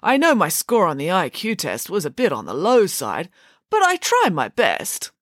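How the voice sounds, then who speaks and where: Big good-natured posh schoolboy, played by a woman, slow-witted, earnest, very animated, for a children's audiobook. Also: on the low side, no room sound, studio quality, voice pushed up. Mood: hurt, earnest. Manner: earnest, slow-witted, chummy upper-class phrasing.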